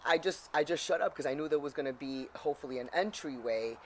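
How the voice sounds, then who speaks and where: A man telling a personal anecdote in an animated way, acting out the dialogue as he goes. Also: on the high side, quick pace, a little hiss or hum. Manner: animated storytelling, acts out dialogue. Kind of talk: casual anecdote.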